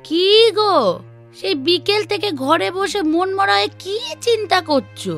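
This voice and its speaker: High, bright cartoon village woman. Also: unhurried, creaky, faint music behind, exaggerated voice. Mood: plain, concerned. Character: village women: maid, mistress, wife, daughter. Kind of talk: Bengali children's cartoon voice acting.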